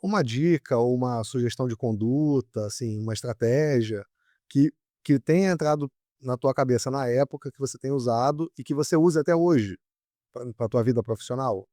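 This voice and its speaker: A man's voice: mid-range voice, moderate pace, warm tone, no echo. A man with a Brazilian Portuguese accent hosts a podcast in a mid-range voice. He asks chatty questions and rewords them as he goes. The sound is dry and close. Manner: chatty, rephrases questions at length. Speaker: podcast host and interviewer. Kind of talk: casual interview questions.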